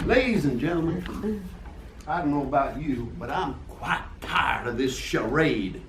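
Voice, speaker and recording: A man's voice: fairly high pitch, expressive, a little slow, a bit bright, roomy sound, a little hiss or hum. A man voicing a theatrical Southern lawyer who pleads emotionally to a jury. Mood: impassioned. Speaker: defense attorney Mister Lee. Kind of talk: amateur radio play reading.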